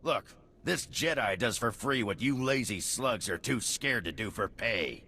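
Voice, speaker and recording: An actor playing a gruff, scornful mercenary boss in a video game, sneering and blunt. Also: male voice, mid-range voice, animated, measured pace, pushed and bright, slight background noise. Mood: impatient, goading. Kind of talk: video game character acting.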